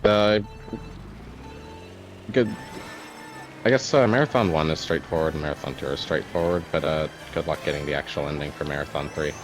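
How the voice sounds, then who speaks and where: Deep-voiced man in casual gaming chat. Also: moderate pace, long pauses, faint music behind, rough recording. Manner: rambling, swears when excited, laughs often. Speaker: streamer.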